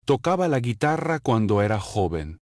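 A man reading short phrases for a language lesson, saying each one slowly and clearly and then repeating it. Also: medium pitch, very animated, a little mellow, no echo. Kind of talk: slow, carefully enunciated drill reading. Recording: dry, close studio voice-over.

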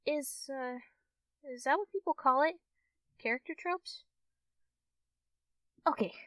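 A sweetly awkward, easily excited young fox girl, acted by a woman in a friendly ASMR roleplay. Her voice sits fairly high and she takes her time, hesitating as she goes. The sound is dry, with no room echo. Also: recorded from a distance. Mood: friendly, playful. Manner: hesitant, halting, giggly, addressing the listener as a friend. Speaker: roleplay performer.

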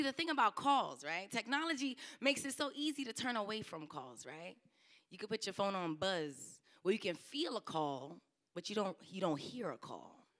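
A young woman preaching a trial sermon at a lectern into a handheld mic, earnest, her emphasis rising and falling. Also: mid-range voice. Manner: earnest, rising and falling emphasis, softening to a near whisper at the close. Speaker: licentiate preacher. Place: church stage, indoor. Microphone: handheld microphone at a lectern.

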